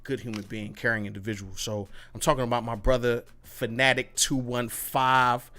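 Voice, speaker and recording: Bright-voiced slangy male podcast host. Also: mid-range voice, level tone, normal speed. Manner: loose and slangy, swears freely, shout-outs to chat. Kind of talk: casual gaming podcast chat.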